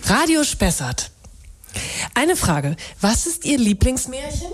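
An actress in a naturalistic radio drama playing an inquisitive, sceptical reporter, casual and questioning. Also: female voice, sits high, very animated, slow, full character voice. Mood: playful, casual. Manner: casual, questioning, hesitant interjections. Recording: field recording with background noise.

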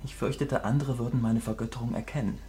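An actor in a German radio drama playing an earnest, adoring painter who is troubled and wistful and speaks in formal, confessional phrases. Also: male voice, medium pitch, steady tone, fast, warm tone, close to an everyday voice.

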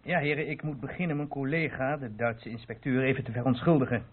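A man playing a brisk, self-assured police inspector in a radio play. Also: medium pitch, fairly even intonation, husky, natural voice. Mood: genial, explanatory. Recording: tinny, narrow-band old radio recording.

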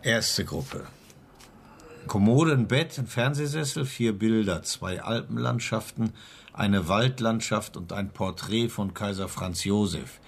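An actor playing a brisk, matter-of-fact police investigator in a radio crime drama, dry and clipped. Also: male voice, on the low side, creaky, husky, exaggerated voice. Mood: businesslike. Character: brisk, businesslike male police investigator, dryly sure of himself.

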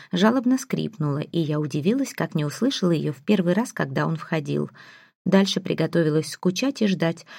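A woman reading first-person fiction aloud as an expressive audiobook narrator. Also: lowish voice, vocal fry, no echo, studio quality.

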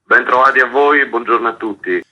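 A man's voice: medium pitch, a little fast, muffled recording. A middle-aged man, an author being interviewed, telling his own story in a radio interview.

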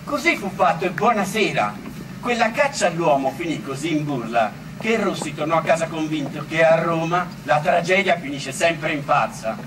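A man's voice: fairly high pitch, animated, normal speed, recorded from a distance, background noise, natural voice. A man acting as a storyteller, recounting history. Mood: wry. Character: Roman male storyteller recounting events of 1849. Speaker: actor and narrator. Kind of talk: theatrical eyewitness storytelling over music.

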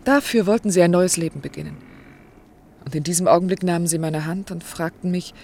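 An actress playing an anguished, dutiful clinic worker in love in a German radio drama, earnest, formal and restrained. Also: female voice, fairly low pitch, a little fast, darkish tone. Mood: wistful, hurt. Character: anguished, resigned, dutiful woman working at a clinic, in love with a doctor.

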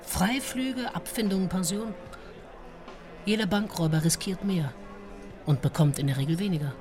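An actress playing a sceptical, wry colleague of an investigator in a radio drama, speaking in a cynical, probing way. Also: female voice, fairly low pitch, moderate pace, music in the background, natural voice. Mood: sarcastic. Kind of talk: radio drama, conversational dialogue.

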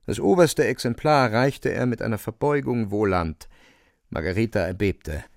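Low, gravelly male narrator. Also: lively intonation, moderate pace, no echo, studio quality. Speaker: narrator of a radio drama. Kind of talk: literary scripted narration.

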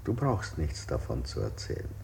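Interrogator, falsely considerate, dark low voice, repetitive coaxing, 1960s radio drama with faint noise. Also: male voice, wildly swooping pitch, normal speed, creaky, full character voice. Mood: soothing, coaxing. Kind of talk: 1960s German avant-garde radio play.

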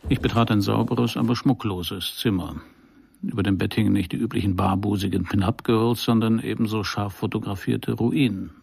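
An actor playing a wry, world-weary private detective in a noir radio play, with a dry, sardonic delivery. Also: male voice, on the low side, fairly even intonation, moderate pace, vocal fry, darkish tone, husky, exaggerated voice. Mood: dry, observant. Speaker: narrator and lead of a crime radio play. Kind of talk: first-person noir radio drama narration.

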